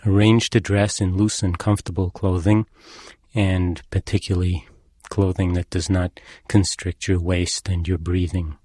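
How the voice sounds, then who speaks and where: A man leading a guided meditation as an instructor, speaking in a calm, measured and gently instructing manner. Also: sits low, no room sound, studio quality.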